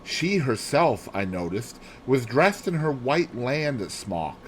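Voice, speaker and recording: A man reading a classic mystery novel aloud as a narrator, in an expressive, theatrical manner. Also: mid-range voice, slow, a little hiss or hum, poor audio. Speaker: audiobook narrator.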